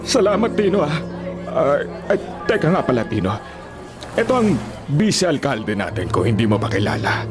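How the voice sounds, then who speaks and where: A man's voice: mid-range voice, sing-song, moderate pace, music in the background, natural voice. A man in a radio drama playing a scheming, condescending older town mayor with a smug, falsely genial and pointed manner.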